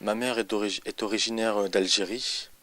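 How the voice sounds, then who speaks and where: A young man in his mid-twenties with a slightly low voice. He tells his own story unscripted, in a halting manner, often hesitating as he searches for the next words. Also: fairly even intonation, a bit bright. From Paris, France. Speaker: convert giving personal testimony. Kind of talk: autobiographical testimony, unscripted.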